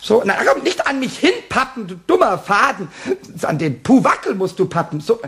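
An actor playing a mischievous, childish little male kobold in a radio play, with a high squeaky, giggly voice that stammers when he gets excited. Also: moderate pace, full character voice. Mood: frantic. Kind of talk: 1960s radio play acting.

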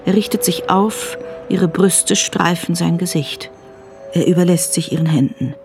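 A woman reading scripted drama narration in a measured, unhurried manner. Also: on the low side, over music. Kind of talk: scripted present-tense narration.

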